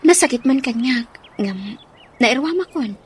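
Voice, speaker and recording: Casting: woman as Ilocano mother, dutiful wronged wife, slightly high voice, radio drama. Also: animated, a little slow, husky, heavily acted. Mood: sorrowful, wistful.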